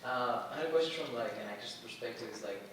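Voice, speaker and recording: A man's voice: medium pitch, fast. A man asking a casual question with tentative, searching phrasing. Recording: echoey room, very distant, noisy.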